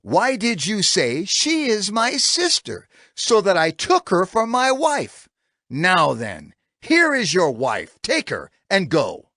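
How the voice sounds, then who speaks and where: A man's voice: sits high, huge pitch swings, slow, pushed and bright, no echo, studio quality, heavily acted. A man playing an outraged, imperious Egyptian ruler in a dramatized scripture reading. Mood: outraged, indignant. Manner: indignant, imperious.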